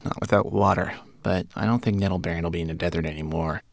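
A man's voice: low-pitched, fairly even intonation, fast talker, vocal fry, no room sound, clean studio sound. A man voicing a timid, anxious little male homunculus with a meek, hesitant manner.

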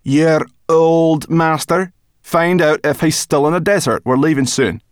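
A man voicing an impatient, sharp-eyed female brownie with a brusque, blunt way of talking. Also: fairly high pitch, a little slow, clear, resonant tone, dry recording, clean studio sound, pitched above the performer's own voice, natural voice.

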